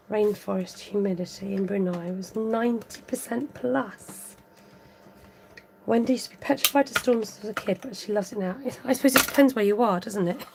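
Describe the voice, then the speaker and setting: A woman hosting a live card-making tutorial, thinking aloud as she works, chatting with viewers and reading out their comments. Her voice is a little croaky. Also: medium pitch, noisy background. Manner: rambling, thinks aloud while working. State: slightly croaky voice. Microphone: overhead camera above a craft table, voice from off-frame.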